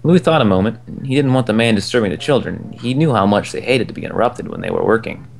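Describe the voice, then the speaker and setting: A young man reading a children's book aloud in a relaxed way, chuckling now and then at the text. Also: mid-range voice, fast, creaky. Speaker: storyteller. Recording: soft game music behind the voice.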